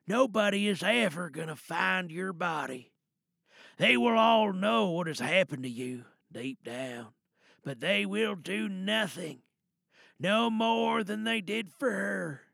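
A man playing a protective, grim older father in an audio drama monologue, speaking in a halting, deliberate and coldly calm way. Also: sits high, gravelly, dry recording, clean studio sound, heavily acted. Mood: cold menace. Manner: halting, hushed reassurance turning cold and deliberate. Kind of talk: dramatic audio monologue, one side of a phone call.

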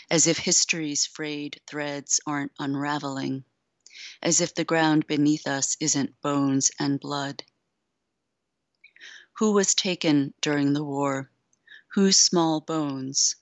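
A woman poet being interviewed, who speaks slowly and reflectively with many pauses and fillers, and also reads her own poem aloud. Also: low-pitched, steady tone, dry recording. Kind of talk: thoughtful interview talk and poetry reading.

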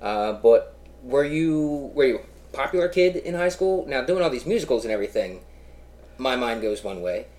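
A man's voice: medium pitch, expressive, normal speed, darkish tone. A man from Philadelphia hosting a casual interview, asking easygoing questions with lots of "yeah" and "uh". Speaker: podcast host.

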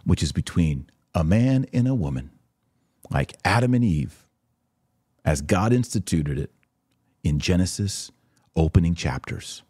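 Male podcast host, low and a touch rough, dry sound, emphatic commentator fielding viewer questions. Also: animated, measured pace, pauses often, mellow, no echo. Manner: emphatic, repeats short phrases for stress.

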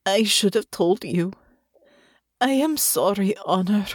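A woman voicing a tender, remorseful female lover in an audiobook, soft and thick with tears. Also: medium pitch, animated, slow pace, no room sound, clean studio sound. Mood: tearful remorse. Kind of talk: audiobook character acting.

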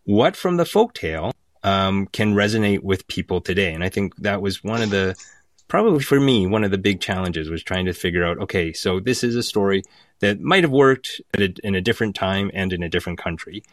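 A man's voice: low-pitched, animated, fairly quick, dry recording. A man from Alberta with a Canadian accent, explaining conversationally as a guest writer in an interview. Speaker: guest writer of audio drama.

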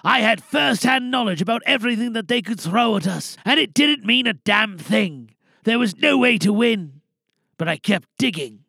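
A man playing a weary, resolute older insurance whistleblower, giving a dramatic speech to a crowd with deliberate pauses. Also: high-pitched, animated, creaky, bright, forceful tone, slightly rough, dry recording, pitched above the performer's own voice, heavily acted. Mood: bitter, intense.

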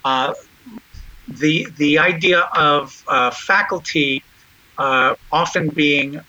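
A male interview guest calls in over a poor overseas connection. His voice is sharp and bright but dulled by the line, and faint hiss sits under it. He pauses often and restarts his sentences. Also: fairly high pitch. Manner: hesitant, with many fillers and restarts. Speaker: guest, nonprofit chairman. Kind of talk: interview over a long-distance call. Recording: muffled long-distance line.

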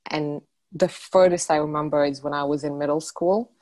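A woman from Morocco in her forties with a lower voice, speaking as an interview guest. She talks in a relaxed, thoughtful way with small laughs, and her voice sounds close and dry. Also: moderate pace, no echo. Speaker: guest, life coach. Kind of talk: casual interview chat.

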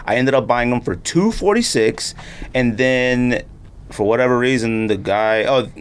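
Male podcast host, middle-pitched, loose and slangy, conversational storyteller. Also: lively intonation, a little slow, smooth. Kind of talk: casual sneaker chat. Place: podcast studio.

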